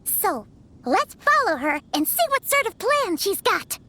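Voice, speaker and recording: A woman voicing a tiny childlike fairy companion in a video game, squeaky, excitable and easily indignant, with exaggerated delivery. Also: measured pace, noisy background, full character voice. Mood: mischievous. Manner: exaggerated, refers to self in third person. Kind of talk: cartoonish video game voice acting. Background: game music.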